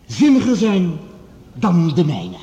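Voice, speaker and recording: A man playing an eminent, composed scientist in a radio play, addressing an assembly in measured formal speech. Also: sits high, wildly swooping pitch, dark tone, exaggerated voice. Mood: solemn, persuasive. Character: eminent male scientist, formal, composed, conceding rival professor. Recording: echoey large hall with long reverb.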